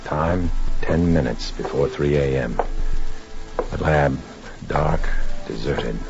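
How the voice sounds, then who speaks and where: An actor playing a tense undercover infiltrator, narrating in a clipped, dramatic 1950s radio drama style. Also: male voice, rumbling low, slow pace, exaggerated voice. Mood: tense, hushed. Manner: clipped, dramatic, hard-boiled delivery. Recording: muffled 1950s radio recording with music.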